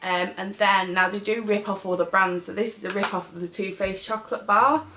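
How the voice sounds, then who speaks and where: A woman's voice: mid-range voice, steady tone, a little fast, pushed and bright, slight background noise. A British woman chatting casually as a beauty vlogger reviewing products.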